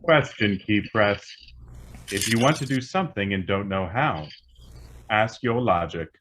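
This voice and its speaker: A man voices a helpful radio-drama computer in a lowish register. It speaks with formal, clipped, impassive phrasing over faint background noise. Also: measured pace, a bit bright. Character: impassive all-knowing talking computer, helpful, literal-minded.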